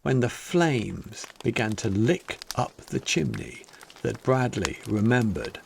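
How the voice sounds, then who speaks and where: A man reading a children's picture book aloud as its author, in a dramatic, deliberate storytelling manner with emphatic pauses. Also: mid-range voice, lively intonation, gravelly, slight background noise. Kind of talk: expressive children's story narration.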